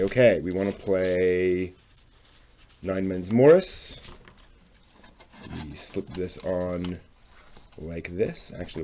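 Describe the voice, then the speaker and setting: A man's voice: sits low, mellow. A man talking casually through a hands-on craft demonstration, muttering tentatively to himself as he works. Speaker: craft vlog host. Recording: clear background noise with clicking.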